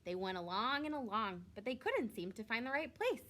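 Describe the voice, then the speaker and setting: A woman reading a picture book aloud to young children, expressively, drawing out words for emphasis like a teacher. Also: fairly high pitch. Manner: expressive, with drawn-out emphasis and questions to listeners.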